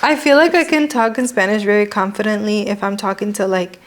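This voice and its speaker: A woman's voice: medium pitch, a little fast. Chatty young woman mixing in Spanish. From Mexico. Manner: mixes Spanish phrases into English, chatty and animated. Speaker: podcast host and lash artist. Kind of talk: casual chat.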